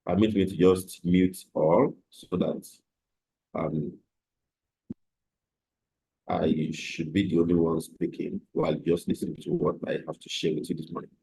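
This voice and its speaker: A man teaching a live online trading class, slightly hoarse from a cold, explaining step by step with fillers over a computer microphone. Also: deep voice, flat delivery, a little slow, pauses often, mellow, rough recording. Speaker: trading instructor.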